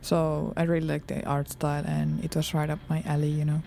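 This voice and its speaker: Woman gamer with a low, bright voice. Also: completely flat delivery, brisk, gravelly, a little hiss or hum. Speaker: video game streamer. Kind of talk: let's play commentary, reading game text aloud. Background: game music.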